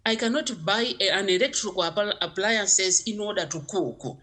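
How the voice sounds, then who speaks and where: A woman's voice: medium pitch, moderate pace. A woman from Malawi, a geography teacher giving emphatic interview answers. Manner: emphatic, animated explaining.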